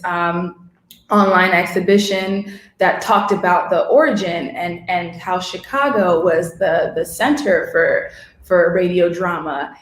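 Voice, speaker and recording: A woman writer talking casually in a discussion, reflective and searching for her words. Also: on the low side. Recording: roomy, echoing room sound.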